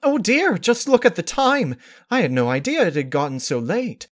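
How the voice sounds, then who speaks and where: A man's voice: high voice, very animated, moderate pace, voice pushed up. A man voicing a refined female cartoon unicorn dressmaker, prim, polite and dramatic in manner. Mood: flustered.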